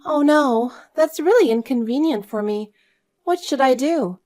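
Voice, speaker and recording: Woman voicing a high-voiced, pleading young mother. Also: expressive, a little slow, darkish tone, soft background music. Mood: disappointed. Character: pleading, inconsiderate adult mother asking for childcare. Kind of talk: acted family story dialogue.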